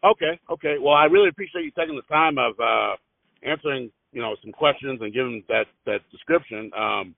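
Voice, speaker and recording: A man conducts a casual interview over a telephone line. His voice is creaky at the edges and quite dry, with little room sound. He phrases his questions hesitantly and wanders a bit, and the line makes him sound narrow and muffled. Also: mid-range voice, animated, fairly quick, no room sound. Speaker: interviewer on a phone call. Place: telephone call. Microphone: phone line.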